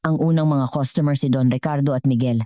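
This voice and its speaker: A woman's voice: extremely low pitch, fairly even intonation, quick pace, dark tone, dry recording, studio quality. A woman with a Filipino accent reading a scripted dramatic story as its narrator.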